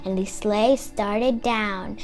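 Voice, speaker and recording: A woman reading a children's story aloud in an expressive, dramatic way with drawn-out emphasis. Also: mid-range voice, soft background music.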